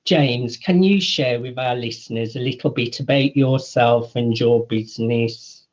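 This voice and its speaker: A male radio host speaks slowly and deliberately in a medium-pitched voice. He introduces guests and asks questions, full of praise. The recording sounds slightly dull. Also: a little mellow. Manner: slow, deliberate, enthusiastic praise. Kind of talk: radio interview hosting.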